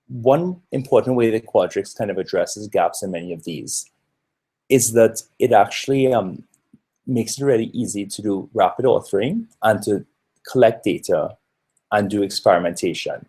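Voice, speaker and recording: A man's voice: lowish voice, normal speed, mellow, breathy edge. A man in his thirties from Trinidad giving an earnest, explanatory conference presentation with occasional fillers. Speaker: researcher presenting a talk.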